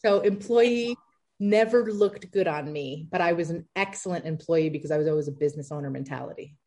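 Woman in her 30s, kinda low voice, chatty and upbeat, lots of likes and ums. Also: fairly quick. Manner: chatty, lively, laughs easily, many fillers. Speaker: entrepreneur guest. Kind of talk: casual interview answers.

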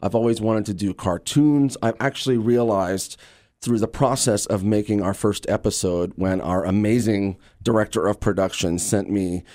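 A man from Louisiana speaking as an actor guest in an interview, telling stories in an enthusiastic, conversational and animated way. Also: lowish voice, moderate pace. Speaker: interview guest, actor and radio drama creator.

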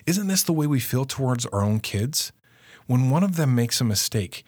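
A man's voice: medium pitch, slightly rough, no echo. A man co-presenting a religious self-help lesson in an earnest, instructive manner.

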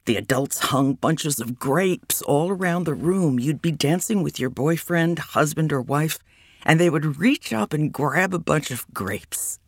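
Gravelly woman reading a memoir. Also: very deep voice, dry recording, studio quality. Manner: unhurried reminiscing narration.

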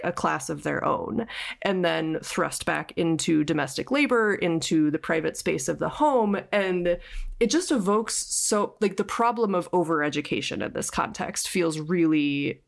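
A woman's voice: lowish voice, creaky. A woman podcast host talking reflectively and articulately in a conversational book discussion, often dropping in "like".

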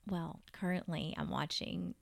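A woman's voice: on the low side, unhurried, creaky, dry recording, clean studio sound. A woman in her forties from Texas, with a Texan accent, chatting as a podcast guest in a friendly, talkative way. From Texas, USA. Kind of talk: casual interview chat.

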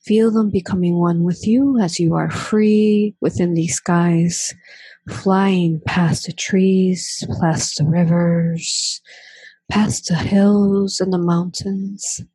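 Breathy woman guiding a slow meditation. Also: lowish voice, steady tone, no room sound, low-quality recording. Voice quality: breathy, hushed. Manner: drawn-out, gently repeating phrases with long pauses. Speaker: guided meditation leader. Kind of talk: guided meditation, slow and soothing.

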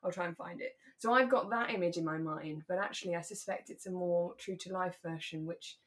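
A British woman chatting reflectively as a podcast co-host, in a relaxed conversation. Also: lowish voice, a little fast. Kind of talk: relaxed conversational discussion of a radio drama.

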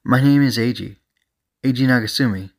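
A man's voice: mid-range voice, brisk, a little mellow, voice pushed up, lightly acted. A man voicing a cheeky, casual young male hacker in an audiobook, with an informal, playful manner. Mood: breezy.

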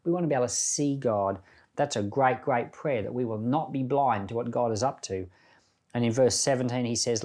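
A man's voice: medium pitch, darkish tone. A man who is a pastor and podcast host, giving informal, plain-spoken Bible teaching and prayer, explaining by paraphrase.

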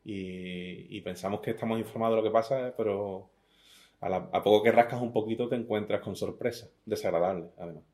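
Joking Andalusian man, low voice. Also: fairly even intonation, fairly quick, a little mellow. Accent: Andalusian Spanish. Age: middle-aged. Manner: self-deprecating, joking, restarts words often. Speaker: poet, interview guest. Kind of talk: casual interview, reading his sonnets.

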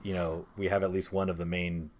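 Deep-voiced man hosting a casual podcast. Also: little pitch movement, fairly quick. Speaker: podcast host. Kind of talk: casual movie discussion podcast.

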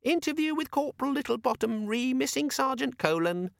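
High, smug little pocket demon. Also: male voice, expressive, a little fast, vocal fry, dry recording, studio quality, exaggerated voice. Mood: sulky pedantic. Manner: fussy, smug, lecturing. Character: sulky pedantic little demon organiser in a box.

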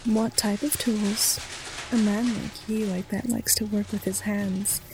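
A woman playing a flirtatious general store keeper in an audio drama, lingering suggestively on her words and dropping into breathy murmurs. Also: mid-range voice, steady tone, background noise, natural voice. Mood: flirtatious, teasing. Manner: slow, lingering, innuendo-laden delivery.

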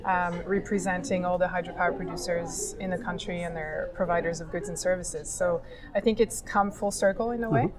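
A woman from France speaks, her voice sitting a little low. She answers interview questions steadily, pausing on ums as she works through her points. A conference crowd murmurs loudly behind her. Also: steady tone. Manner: measured, with frequent ums. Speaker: industry association leader. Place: conference venue. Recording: busy crowd chatter behind.

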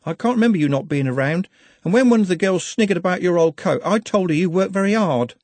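A woman's voice: lowish voice, lively intonation, fast, darkish tone, dry recording, pitched above the performer's own voice. A man voicing a chatty, eager young working-class woman who rambles in long run-on sentences. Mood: earnest rambling.

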